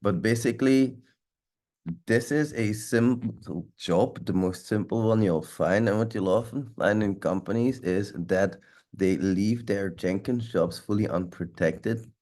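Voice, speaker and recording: A man's voice: on the low side, measured pace, darkish tone. A man with a stuffy nose giving a loose, rambling live walkthrough of a tech tool as the instructor, swearing now and then. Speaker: security instructor.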